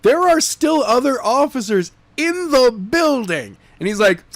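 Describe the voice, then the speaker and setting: A man's voice: very high voice, very animated, measured pace, no room sound. A man co-hosting a podcast, discussing a story casually in a playful, slangy way. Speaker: podcast co-host and chapter narrator. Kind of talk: casual, joking book discussion.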